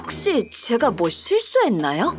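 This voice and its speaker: A woman voicing a timid young office worker, high voice, lively pitch, polite, deferential, audio drama. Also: unhurried.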